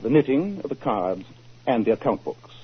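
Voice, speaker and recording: An actor playing a confident, superior British gentleman detective in a 1940s radio drama, authoritative and dryly condescending. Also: medium pitch, sing-song, moderate pace, heavy background noise, lightly acted. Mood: brisk. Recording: muffled old 1940s radio recording.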